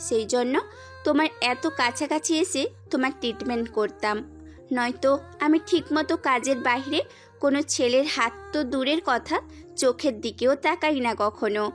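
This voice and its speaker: A young female doctor, affectionate and a little teasing, played by a woman in an amateur audio story. Her voice sits high and gentle, with faint music underneath. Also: normal speed, natural voice. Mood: heartfelt. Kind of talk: amateur audio drama acting. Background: faint background music.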